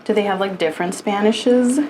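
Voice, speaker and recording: A woman's voice: medium pitch, a little fast, darkish tone, a little hiss or hum. A woman in her early thirties co-hosting a podcast in casual chat, dry and wry, often asking questions. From United States. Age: 30s. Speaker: podcast co-host.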